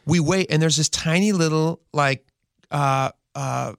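A man's voice: fairly high pitch, a little slow, vocal fry, smooth, no echo, clean studio sound. A man in his forties hosting a radio talk show, chatting casually in a rambling way with lots of fillers. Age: 40s. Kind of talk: casual chat.

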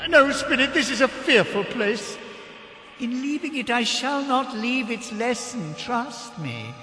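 An actor playing a frightened elderly miser in a radio drama, tremulous, halting and beseeching. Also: male voice, very high-pitched, very animated, pushed and bright, full character voice. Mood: pleading dread. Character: frightened, pleading elderly male miser facing spirits. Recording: echoey reverberant studio effect under music.

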